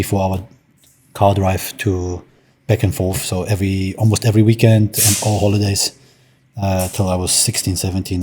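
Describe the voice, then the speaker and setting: A man talks casually about his life as an artist. His voice sits low and sounds mellow and dark. He hesitates a lot, filling gaps with ums and restarting sentences. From Germany. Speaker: artist guest. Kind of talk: casual interview conversation.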